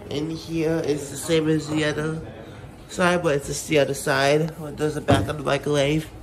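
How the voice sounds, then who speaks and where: A man giving a casual, halting tour of a rental house, filming on a handheld phone as he walks indoors. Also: fairly high pitch, flat delivery, a crowd in the background. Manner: rambling, halting, many fillers and restarts. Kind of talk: casual handheld walkthrough narration. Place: indoors in a rental house kitchen and living room. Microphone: phone camera held while walking. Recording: loud handling noise and clicks.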